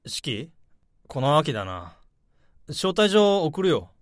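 Smug office colleague, breezy, patronizing, fairly high voice, dry radio drama sound. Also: male voice, big pitch swings, slow pace, no echo. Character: smug well-dressed male office colleague.

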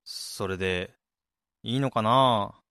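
A man's voice: lowish voice, slow pace, pushed and bright, smooth, dry recording, studio quality. Wistful, blunt man in a radio drama, acted by a man. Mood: pensive. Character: wistful man unsure whether he became an ideal adult. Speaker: radio drama lead and narrator. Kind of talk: amateur radio drama dialogue and reflective narration.

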